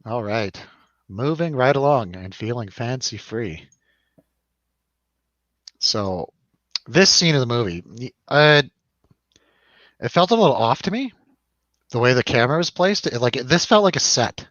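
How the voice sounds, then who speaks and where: A man's voice: mid-range voice, expressive, a little slow, pauses often, fairly bright tone, dry recording, dull audio. A man hosting a casual film review chat, rambling and jokey, putting questions to his co-host. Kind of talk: casual chatty film discussion over drinks.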